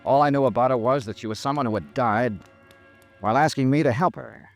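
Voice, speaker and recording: An actor with an American accent playing a tough, dogged, wry insurance investigator in a 1950s radio detective drama. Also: male voice, mid-range voice, a little fast, soft background music, close to an everyday voice. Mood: haunted.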